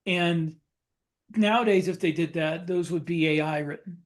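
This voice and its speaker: A man chatting casually as a podcast co-host, thinking aloud in halting phrases that he often repeats. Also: a little high. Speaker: podcast co-host and fiction author.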